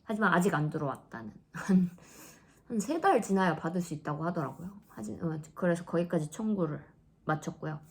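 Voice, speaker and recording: A woman in her thirties chatting casually in a vlog, laughing often and dropping into soft asides. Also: lowish voice, animated, fairly quick. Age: 30s. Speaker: vlogger.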